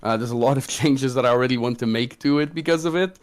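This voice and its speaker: A man's voice: medium pitch, fast. A man who designs tabletop games, chatting casually as co-host of a question-and-answer livestream.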